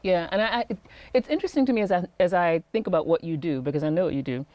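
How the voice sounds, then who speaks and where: A woman hosting an interview series, reading a scripted intro and then asking questions in a measured, attentive way. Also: lowish voice, a little mellow, no room sound. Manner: measured and attentive, frequent affirming murmurs. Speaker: interview host, executive coach.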